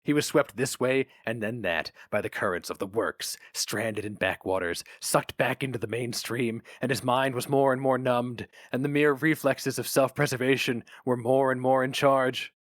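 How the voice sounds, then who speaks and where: Guy doing dramatic story narration, medium pitch, really dry sound. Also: fairly even intonation, moderate pace, hardly any pauses, no room sound.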